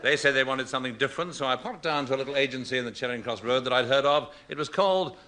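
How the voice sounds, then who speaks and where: A man's voice: medium pitch, fast, pushed and bright. A man, hosting a scripted 1960s radio comedy as a polite, dry straight man. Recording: noisy 1960s radio recording, studio audience.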